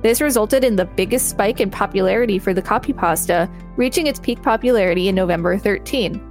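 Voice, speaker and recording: A woman co-hosting a podcast, reading an article aloud in a steady, matter-of-fact way. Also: medium pitch, a little fast, soft background music. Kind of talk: casual read-aloud.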